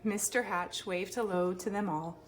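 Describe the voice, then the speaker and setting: A woman reading a children's picture book aloud expressively, with dramatic emphasis and pauses. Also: medium pitch, fairly even intonation, faint music behind. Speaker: read-aloud storyteller.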